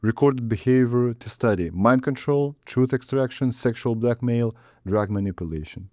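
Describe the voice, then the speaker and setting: A man, hosting a casual interview and asking questions in a measured, halting way. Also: medium pitch, darkish tone, no room sound, clean studio sound. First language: Russian.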